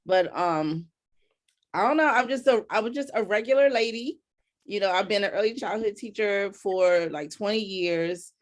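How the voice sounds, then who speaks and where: A woman's voice: medium pitch, normal speed. A woman in her forties with an American accent, chatting casually and modestly as an interview guest. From Washington DC, United States. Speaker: early childhood teacher, interview guest. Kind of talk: casual chat.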